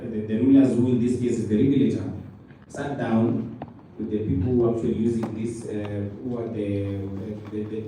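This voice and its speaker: A Kenyan man speaking on a panel as a dairy regulator, halting and full of fillers and restarts. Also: lowish voice. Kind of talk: panel talk. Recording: roomy hall from a distance, loud noise.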